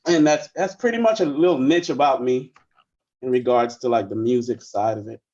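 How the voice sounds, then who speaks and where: A man in his forties, born in the Bahamas, chatting casually as a musician guest in an interview, relaxed and rambling with plenty of fillers. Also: medium pitch, moderate pace, dull audio. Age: 40s.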